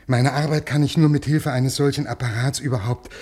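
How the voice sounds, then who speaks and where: An actor playing a desperate, worn-out scholar of fifty in a 1950s radio play, pleading earnestly in educated speech with a creaky, husky voice. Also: male voice, medium pitch, fairly even intonation, fairly quick, darkish tone, close to an everyday voice. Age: 50s.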